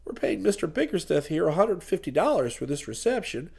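A man's voice: on the high side, sing-song, brisk, gravelly, darkish tone, husky, pitched below the performer's own voice, heavily acted. A man voicing a hearty American civic-booster businessman in an audiobook, plain-spoken, emphatic and businesslike.

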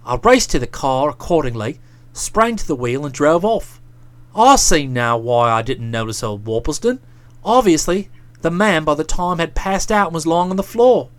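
A bluff, self-satisfied young gentleman author, as a woman reader puts him on, his voice dropped low and drawling. He lectures and explains himself at length with lively swoops of pitch. The recording is close and dry. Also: moderate pace, no room sound. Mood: self-justifying.

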